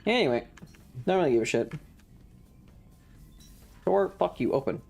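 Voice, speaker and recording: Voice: medium pitch, animated, moderate pace, gravelly, a little mellow, soft background music. A streamer giving casual live commentary on a video game in an irreverent, sweary, rambling way.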